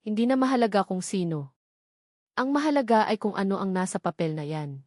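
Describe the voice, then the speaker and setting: A woman's voice: medium pitch, animated, fairly quick, darkish tone, no room sound, studio quality, lightly acted. A woman voicing a vengeful, triumphant rich young woman in a story, emphatic and accusing. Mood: defensive.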